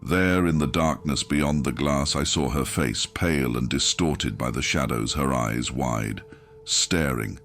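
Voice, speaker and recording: A man reading a scripted first-person horror story in a grim, measured, dramatic manner, as an audiobook narrator. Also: very deep voice, a bit bright.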